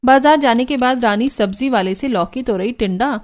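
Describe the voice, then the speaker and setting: A woman's voice: on the high side, normal speed. A woman narrator reading a scripted cartoon story.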